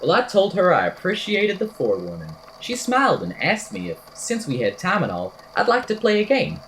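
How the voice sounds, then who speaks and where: An eccentric, whimsical podcaster played by a woman spins a supernatural tale for an audio drama. The voice sits fairly low and swings about in lively fashion, with wordy, theatrical, wry delivery. There is a little noise behind it. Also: a little fast, low-quality recording. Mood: storytelling, wry. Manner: verbose, theatrical, wry deadpan. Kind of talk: amateur audio drama, storytelling monologue.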